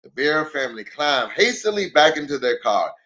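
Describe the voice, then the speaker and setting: A man reading a children's story aloud in an expressive storytelling style. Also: a little high, moderate pace.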